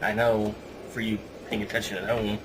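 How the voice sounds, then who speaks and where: A man's voice: on the low side, flat delivery, moderate pace. A man hosting a podcast, giving a casual, sarcastic play-by-play recap. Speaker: podcast host. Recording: clearly noisy home podcast recording.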